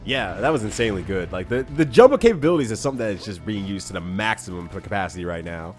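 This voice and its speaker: A young man giving excited, laugh-filled commentary on a video game with friends, reacting with loud exclamations. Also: fairly low pitch, fast. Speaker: let's player commentator. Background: game music.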